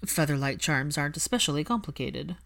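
A woman's voice: sits low, brisk, gravelly, a bit bright. A woman voicing a composed young aristocratic man in an audiobook story, with a dry, understated, guarded manner. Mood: dry teasing.

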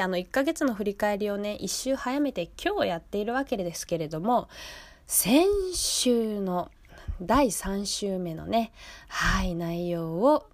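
A woman's voice: medium pitch, dry recording. A woman hosting a radio show alone, chatting casually and conversationally straight to her listeners. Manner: conversational, listener-addressing, soft sentence-ending particles. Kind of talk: casual solo radio talk.

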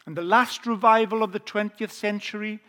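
Welsh male preacher, high voice, earnest testimony delivery, lingers on key lines. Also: fairly even intonation, pushed and bright. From South Wales. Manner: earnest storytelling, slowing down on key phrases. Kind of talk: testimony sermon.

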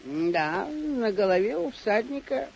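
A simple, cautious squire in an old radio drama. His voice is high for a man, deferential and bumbling, on a faintly noisy old recording. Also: big pitch swings, takes it slowly, creaky, a little mellow, dull audio, exaggerated voice. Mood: cautious, doubtful. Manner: plain-spoken, deferential, bumbling.

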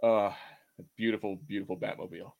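A man's voice: fairly low pitch, steady tone, brisk, vocal fry, phone-quality sound. A man co-hosting a casual watch-party film chat, rambling informally and swearing freely. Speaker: podcast co-host.

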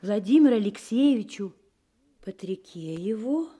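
An actress playing an admiring, curious and excitable lady in a Soviet radio play. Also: medium pitch, a little slow, slightly rough. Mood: curious, surprised.

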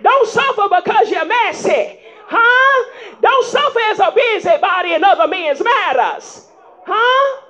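A woman preaching a sermon in folksy vernacular, rising into shouts and prodding the congregation with repeated calls of "huh?" in a dull lo-fi recording. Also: very high voice, lively intonation, a little slow. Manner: emphatic call-and-response preaching with frequent "huh?" prompts.

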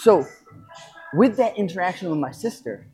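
A self-improvement coach giving an unscripted motivational monologue, speaking reflectively in short, halting phrases and repeating key points for emphasis. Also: medium pitch, expressive, mellow.

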